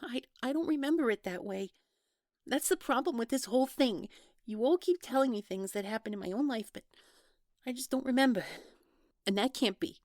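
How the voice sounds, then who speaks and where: A woman's voice: on the high side, a little mellow, no room sound, natural voice. Woman acting guarded, hesitant young woman, dry close sound. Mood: agitated, defensive. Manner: hesitant, halting, trailing off, nervous laughs. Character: guarded young woman, defensive, awkward, newly in love. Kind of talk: naturalistic one-sided audio roleplay acting.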